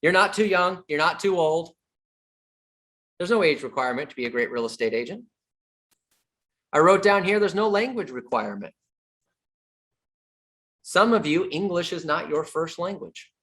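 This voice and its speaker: A man's voice: on the high side, expressive, normal speed, pauses often, a bit bright. A middle-aged man giving a sales training talk as a real estate coach, emphatic, repeating his key points and joking with the audience.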